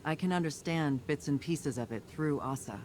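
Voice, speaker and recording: A woman's voice: deep voice, moderate pace, close to an everyday voice. An actress playing a tough, determined female field commander in a video game, speaking in a terse, resolute military manner. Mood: grave, resolute. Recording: loud music and noisy game ambience behind.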